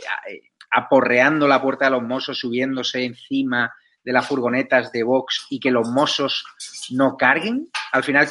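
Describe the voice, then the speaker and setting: A man's voice: mid-range voice, pushed and bright. A man with a Spanish accent from Spain, putting conversational questions as a political interviewer.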